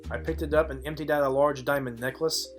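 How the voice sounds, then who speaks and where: A man's voice: a little high, steady tone, quick pace, lightly acted. A man playing a wry, hard-boiled private detective who narrates a noir audio play in a dry, sardonic way. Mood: wry narrating. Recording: background music under the voice.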